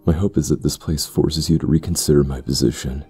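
A man voicing an ominous, brooding ritualist in a fantasy audio drama, with a gravelly, husky voice and a solemn, portentous manner. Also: rumbling low, fairly even intonation, a little fast, warm tone, soft background music, heavily acted. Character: ominous brooding male ritualist addressing his estranged sister.

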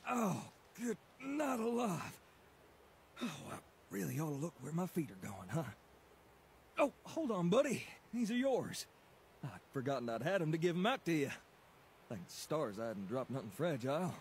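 A man's voice: a little high, sing-song, moderate pace, vocal fry, a little hiss or hum. A man voicing a clumsy, friendly puppet mailman with a Southern American accent and a folksy, stammering, rambling way of talking. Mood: cheerful, flustered.

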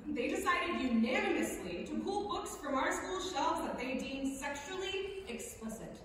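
A woman playing an indignant high school student delivering an emphatic, rhetorical speech of protest in a staged reading. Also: expressive, normal speed. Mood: indignant, firm. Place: large theater stage at lectern, indoors. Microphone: far camera in audience. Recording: very echoey theater, distant mic, faint noise.

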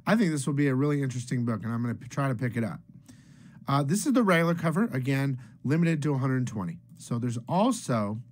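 A man's voice: medium pitch, sing-song, fast talker, a little mellow. A middle-aged man hosting a comic book video from a small room at home, talking casually and upbeat with loose restarts. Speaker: comic book channel host.

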